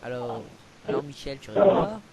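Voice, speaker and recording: A man's voice: medium pitch, sing-song, moderate pace, crowd noise. A young man improvising a prank call, talking in a provocative, mocking, mock-lecturing way among friends. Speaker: prank caller. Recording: noisy amateur home recording with clicks.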